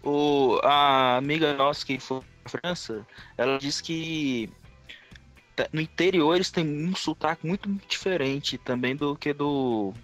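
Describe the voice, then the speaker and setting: A man's voice: on the high side, measured pace, fairly bright tone, soft background music. A man with a Brazilian accent chatting casually as a podcast co-host. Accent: Brazilian Portuguese. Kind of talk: casual chat.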